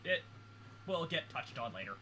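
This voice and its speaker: A man's voice: mid-range voice, big pitch swings, normal speed, sharp tone, slight background noise. A man chatting casually as a guest reactor, explaining things as a fan. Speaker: guest reactor who knows the franchise.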